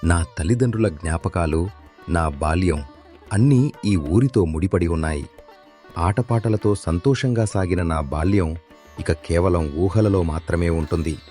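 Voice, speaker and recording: A man playing a humble, earnest village husband and father in an animated moral story, speaking politely. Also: low-pitched, measured pace. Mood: sorrowful. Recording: music behind the voice.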